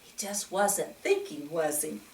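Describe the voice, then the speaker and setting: A woman reading a picture book aloud to children in an animated way, often putting questions to the listener. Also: low-pitched, measured pace, gravelly, background noise. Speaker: children's story reader. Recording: echoey room, distant mic.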